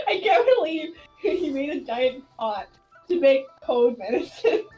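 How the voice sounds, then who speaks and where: A woman's voice: high voice, sing-song, slow, a little mellow. A woman chatting casually while streaming a video game, in a giggly, rambling way. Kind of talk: casual gameplay chat. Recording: distant mic, game music behind. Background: faint game music.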